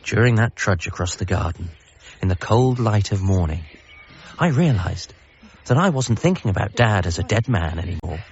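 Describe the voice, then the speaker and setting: An actor playing an eager, apologetic grown-up son in a radio comedy drama, in narration and dialogue. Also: male voice, low-pitched, lively intonation, moderate pace, a little mellow, slight background noise. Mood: reflective, wondering. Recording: slightly muffled, faint music behind.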